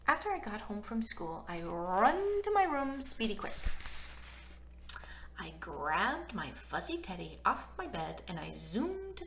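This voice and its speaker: Lady reading a chapter book to kids, slow pace, swoopy childlike storytelling. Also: fairly low pitch, gravelly. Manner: animated, childlike delivery. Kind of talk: expressive read-aloud storytelling for children.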